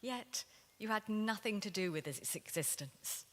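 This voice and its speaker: A woman reader delivering a dramatic spoken word story in a rhythmic, deliberate style. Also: mid-range voice, animated. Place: large reverberant temple hall. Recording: long hall reverb.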